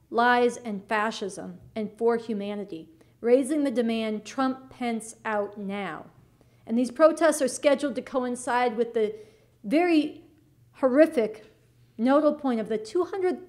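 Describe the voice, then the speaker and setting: A woman's voice: fairly high pitch, darkish tone. An American woman co-hosting a political talk show, speaking to the audience in an earnest, emphatic and urgent way. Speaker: show co-host.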